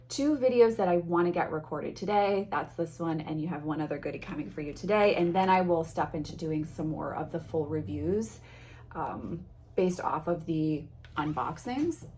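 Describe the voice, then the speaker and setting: A chatty woman talks to her viewers about her favorite tarot decks, with a slightly low voice. She sounds a little sniffly from allergies and often restarts her sentences. Faint noise sits behind her voice. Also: darkish tone. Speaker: tarot content creator. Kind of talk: casual chat to viewers. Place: home.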